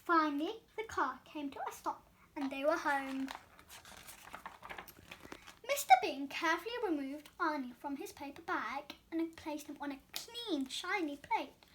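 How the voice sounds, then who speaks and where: A woman reading a children's storybook aloud as an expressive storyteller. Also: sits high, unhurried, slight background noise.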